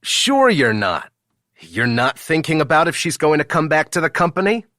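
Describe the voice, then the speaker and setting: A man voicing a brisk young male coworker who teases and needles in quick, chirpy bursts. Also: a little high, sing-song, bright, forceful tone, no room sound, clean studio sound, voice pushed up. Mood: teasing.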